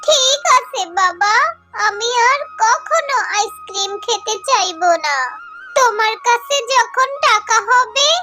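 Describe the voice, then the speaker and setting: A tiny, very high-pitched little girl creature from a children's cartoon. She pleads innocently and slowly, in a bright voice over music. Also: animated, heavily acted. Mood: pleading. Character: young animal girl, poor, pleading and innocent. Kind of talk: Bangla children's cartoon voice acting.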